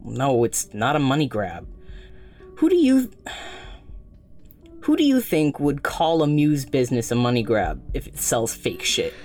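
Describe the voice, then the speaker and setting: A blunt casual friend in a fiction podcast, low voice, animated, bit of music behind. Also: female voice, unhurried, long pauses, full character voice. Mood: blunt, scolding. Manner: blunt, slangy, easygoing. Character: frank, casual, protective friend who gently scolds. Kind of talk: naturalistic audio drama dialogue.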